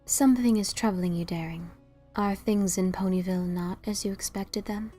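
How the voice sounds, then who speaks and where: A woman voicing a calm, cryptic unicorn musician with a methodical, soft-spoken manner, in a fan audio drama. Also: mid-range voice, moderate pace, soft background music, lightly acted. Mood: calm, enigmatic.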